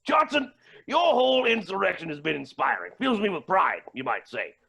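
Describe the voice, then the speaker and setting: A man playing a flamboyant, menacing and unhinged billionaire villain in a staged play reading, with a raspy, creaky voice and grandiose delivery. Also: high-pitched, sing-song, normal speed, heavily acted. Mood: smug menace. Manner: theatrical, grandiose, swinging from mutters to shouts.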